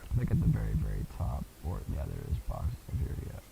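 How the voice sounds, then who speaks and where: A man with a bassy voice giving casual, rambling, slangy commentary while streaming a game. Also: wildly swooping pitch, fast, gravelly, warm tone, slight background noise.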